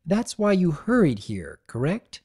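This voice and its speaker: A man's voice: fairly high pitch, huge pitch swings, a little slow, a little mellow, breathy edge, voice pushed up, full character voice. A man voicing a wary, murmuring young unicorn mare in a dramatic reading of a pony story.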